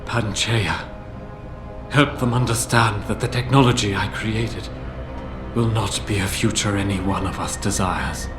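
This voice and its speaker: An actor playing an aging male tech visionary in a video game, grave and measured, breaking into anguished shouts. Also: on the low side, natural voice. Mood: earnest. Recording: roomy game audio with music.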